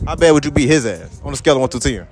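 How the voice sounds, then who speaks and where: A young man hosting a casual public interview at a school, joking loudly in profane slang, outdoors on a phone mic. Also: mid-range voice, animated, very fast, soft background music. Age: young adult. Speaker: street interviewer.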